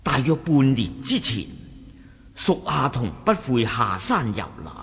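A Cantonese-accented sect lieutenant in a martial-arts radio drama. His pitch sweeps dramatically as he reports to his chief, wary and respectful, breaking into emphatic exclamations. Long hall reverb surrounds him. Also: sing-song, measured pace, a bit bright. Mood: grave. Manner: deferential, storytelling with emphatic exclamations.

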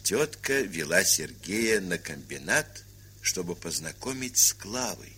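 A man's voice: low voice, expressive, measured pace, pushed and bright, exaggerated voice. A man narrating an audio play as a composed literary storyteller. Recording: hissy, humming 1970s record.

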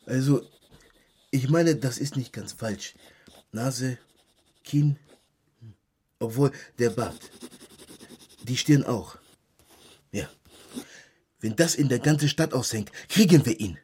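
A man's voice: medium pitch, slow pace, pauses often, mellow, husky. An actor playing a hunted, agitated young man in a radio drama, speaking in short clipped phrases. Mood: wary, dry. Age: young adult. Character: hunted young Ghanaian man living illegally, wary and agitated. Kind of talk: naturalistic radio drama acting.

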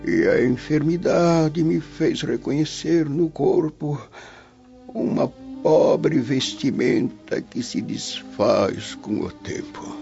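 A man's voice: mid-range voice, vocal fry, mellow, heavily acted. An actor playing a dying, philosophical old Roman father in a Brazilian radio drama, grave and tender, declaiming solemnly. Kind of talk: dramatic radio play acting. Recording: dull lo-fi radio drama, music behind.

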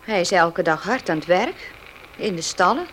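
Earnest, low-voiced servant woman. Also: expressive, normal speed, a bit bright, rough recording. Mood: guarded. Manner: earnest, deferential, defensive. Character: loyal, respectful but protesting female servant of old mistress. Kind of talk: Dutch radio play acting. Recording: faintly hissy old radio play.

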